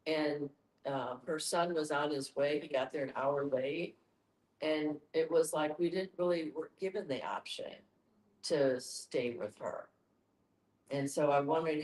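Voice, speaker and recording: Low-voiced woman in an echoey room. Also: a little slow, darkish tone. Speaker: discussion participant asking a question. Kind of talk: informal personal account in a discussion. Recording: roomy, echoing sound.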